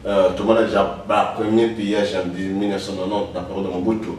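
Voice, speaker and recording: A low-voiced man hosts a TV talk show and circles his questions with many fillers. His voice sounds distant and bounces around a very echoey studio. Faint noise sits under it. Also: little pitch movement, quick pace, darkish tone. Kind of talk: TV studio discussion.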